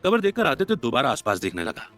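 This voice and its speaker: A man's voice: mid-range voice, wildly swooping pitch, fast, a bit bright. A man narrating a scripted dramatic story as an audio storyteller. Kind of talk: scripted dramatic story narration in Hindi mixed with English words. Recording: narration over background music. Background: background music.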